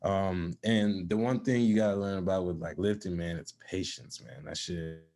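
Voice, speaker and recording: A man's voice: sits low, normal speed, darkish tone. A young man born in Zimbabwe and raised in South Dakota, chatting casually as a podcast guest in laid-back slang. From born in Zimbabwe, raised in South Dakota from age four. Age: young adult. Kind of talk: casual chat.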